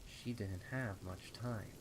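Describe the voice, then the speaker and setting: Slow, low-voiced man narrating horror. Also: gravelly, background noise. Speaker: fan fiction narrator. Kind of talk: dramatic horror story narration. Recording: rain and storm ambience behind. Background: rain.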